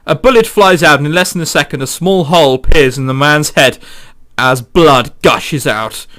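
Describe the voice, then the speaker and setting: A man's voice: a little high, moderate pace, bright, forceful tone. A man who is a let's player reading a visual novel aloud dramatically, with exclamatory, sweary joking commentary.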